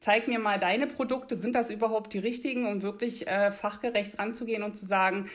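A woman's voice: medium pitch, steady tone, brisk. A middle-aged woman from the Berlin area of Germany, chatting at length in careful standard speech as an interview guest who works as a make-up artist. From Brandenburg near Berlin, Germany. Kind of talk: conversational interview answers.

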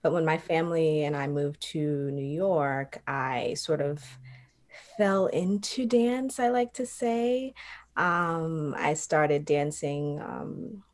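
A young adult woman from Trinidad, a professional dancer, answering interview questions in a reflective way with many pauses and fillers. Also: low voice, a little mellow. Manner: reflective, many ums and you-knows.

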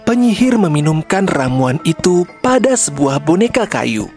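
A woman storyteller narrates a children's tale. Her voice sits fairly low and moves with lively intonation. Faint music plays behind her. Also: moderate pace.

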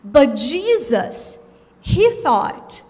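A woman with a Brazilian Portuguese accent preaches as a guest, earnest and unhurried, often pausing on fillers. Her voice sits high, and her words come slowly. A long reverb trails after each phrase. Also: big pitch swings, darkish tone. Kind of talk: sermon.

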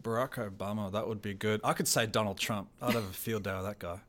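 A man's voice: fairly low pitch, steady tone, fast talker, fairly bright tone. A middle-aged man with an Australian accent, a theater technical director chatting casually as a radio guest, laughing often and restarting his phrases.

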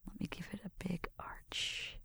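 A woman performing an ASMR roleplay in a gentle, breathy whisper, murmuring through each step close to the microphone. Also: measured pace, no echo. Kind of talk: ASMR roleplay, soft personal attention. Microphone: close microphone, dry sound.

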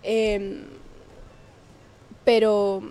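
A woman's voice: mid-range voice, flat delivery, very slow, mellow, slight background noise. A young woman from Ecuador, a guest in a casual interview, talking hesitantly with many fillers and easy laughs. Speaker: guest, singer.

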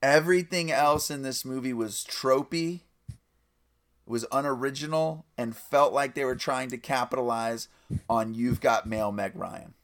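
A man's voice: mid-range voice, measured pace. A man co-hosting a casual film chat, giving blunt, dry criticism. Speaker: podcast co-host reviewing a film.

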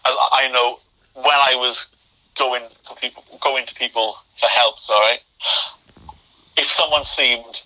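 Young man, stammering speech, very bright sharp timbre, average pitch, remote call audio. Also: steady tone, measured pace, long pauses, low-quality recording. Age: young adult. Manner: stammers, with repeated starts and blocked words. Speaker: podcast guest. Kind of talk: interview conversation.

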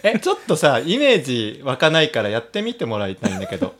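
A man's voice: mid-range voice, wildly swooping pitch, fast, a bit bright. A man co-hosting a podcast in casual, playful banter, often talking through giggles. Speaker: podcast co-host.

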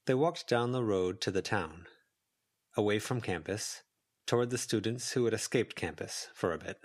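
A man's voice: on the low side, moderate pace. A man reading a story aloud as an audiobook narrator, in scripted narration. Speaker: volunteer audiobook reader. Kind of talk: scripted fiction narration with character voices.

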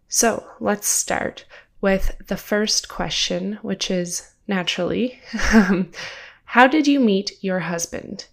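A young woman with a stuffed-up, congested voice, hosting her own podcast in a chatty, upbeat way and laughing now and then. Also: medium pitch. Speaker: podcast host. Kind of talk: casual solo podcast talk.

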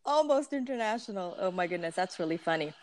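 A woman hosts a casual podcast conversation over a remote call, bubbly and quick to laugh. Her pitch leaps up and down with lively animation. The sound is dry and close, with no room around it. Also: no room sound. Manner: bubbly, laughs often, many fillers. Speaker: podcast host. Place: staying in Puerto Rico, remote call.

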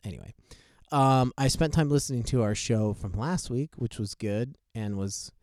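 A man's voice: mid-range voice, animated, moderate pace, darkish tone, no room sound, clean studio sound. A man co-hosting a casual podcast, rambling with many fillers and restarts. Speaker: podcast co-host.

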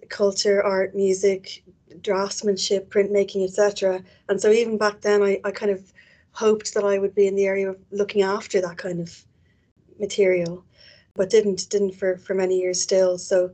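A woman's voice: medium pitch, warm tone. A woman with a Dublin Irish accent, an archivist answering interview questions in a chatty way, often restarting her words. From Ireland.

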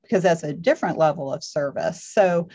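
A woman's voice: low-pitched, lively intonation, a little fast, mellow. Articulate woman interviewer, slightly muffled. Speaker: interviewer host. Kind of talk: conversational interview. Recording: slightly dull sound.

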